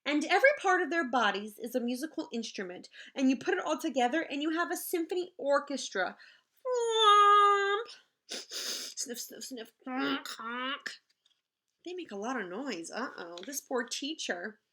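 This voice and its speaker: A woman reading a picture book aloud in the manner of a teacher, expressive and explaining things simply to children. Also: high voice, unhurried. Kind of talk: animated children's read-aloud with asides to the listeners.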